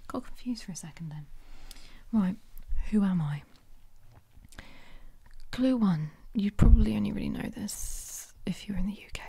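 A woman hosting an ASMR trivia quiz in a soft whisper, chatty and quick to correct herself. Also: medium pitch, expressive, husky, dry recording. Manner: chatty, self-correcting, giggly asides. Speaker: quiz host.

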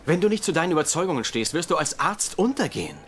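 An actor in a dubbed TV comedy playing a gruff, biting middle-aged doctor who mentors an intern. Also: male voice, on the high side, very animated, fast, heavy background noise. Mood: exasperated. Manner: biting, insulting, ranting. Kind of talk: German dubbed TV comedy acting.

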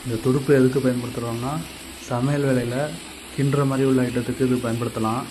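Flat-voiced man teaching woodwork, noisy shop. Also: mid-range voice, a little fast. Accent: Tamil Nadu. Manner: plain step-by-step explaining, repeats himself. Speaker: carpenter teaching on his channel. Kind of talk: casual how-to narration.

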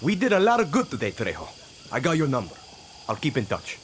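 A man's voice: medium pitch, huge pitch swings, fairly quick, heavy background noise. A man playing an upbeat young guerrilla fighter in a video game. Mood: friendly. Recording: game audio under loud music.

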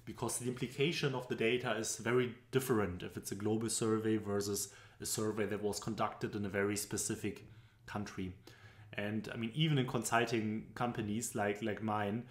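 A young man with a German accent talks through slides, explaining patiently and filling pauses with little hesitations. His voice sits on the low side. The room around him rings with a noticeable echo. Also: little pitch movement. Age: young adult. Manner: explanatory, loosely phrased with frequent fillers. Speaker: business presentation instructor. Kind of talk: screen-recorded tutorial narration. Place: indoor room at home. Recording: echoey room.

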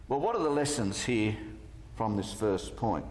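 A man preaching a sermon, deliberate and emphatic, posing rhetorical questions into a lectern microphone. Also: lowish voice, expressive, darkish tone. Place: church hall, at a lectern. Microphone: gooseneck lectern microphone. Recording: echoey church hall with long reverb.

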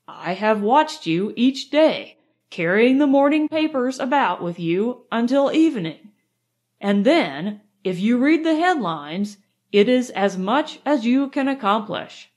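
A woman voicing a calm, stern male doctor. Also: a little high. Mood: lecturing. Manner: firm, measured, lecturing.

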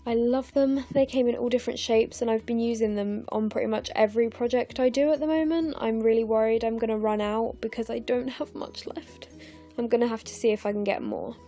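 A young English woman with a croaky, tired voice talks through her scrapbooking at home late at night. She sits a little higher than most women and has a mellow, soft tone, rambling along with plenty of fillers. Faint music plays underneath. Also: steady tone, fairly quick. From England. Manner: chatty, rambling, frequent fillers. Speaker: scrapbooking vlogger. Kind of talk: casual voice-over narration of a craft process.

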